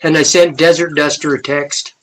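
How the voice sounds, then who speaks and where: A man in his sixties chats on a livestream in a laid-back way, tossing in relaxed asides. His voice sits a bit high for a man. Faint clicking sounds come through behind him on his computer mic. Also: moderate pace, a little hiss or hum, rough recording. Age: 60s. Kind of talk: casual chat.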